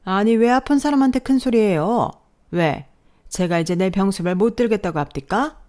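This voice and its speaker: A woman's voice: medium pitch, lively intonation, normal speed, darkish tone, pitched above the performer's own voice, lightly acted. A woman voicing a spiteful, sick older mother-in-law who scolds sharply and venomously. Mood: furious.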